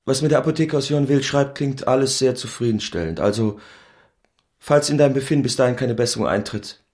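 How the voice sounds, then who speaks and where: An actor playing a modest, devoted country doctor and husband in a radio play, mild and plain-spoken. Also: male voice, mid-range voice, quick pace, a little mellow, lightly acted. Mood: brisk, earnest. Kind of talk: naturalistic radio play dialogue.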